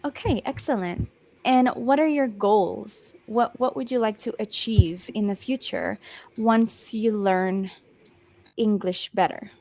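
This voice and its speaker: Slow, patient Australian woman tutor. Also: medium pitch, darkish tone. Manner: patient and encouraging, slows down and rephrases, many um fillers. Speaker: online English tutor. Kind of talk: one-on-one language lesson over video call. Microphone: video call.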